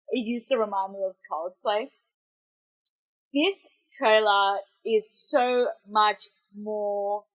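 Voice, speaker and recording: A woman's voice: mid-range voice, rough recording. A young woman with an Australian accent, congested from a cold, chatting in a rambling, self-correcting way as she reacts to a film trailer. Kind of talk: casual reaction commentary.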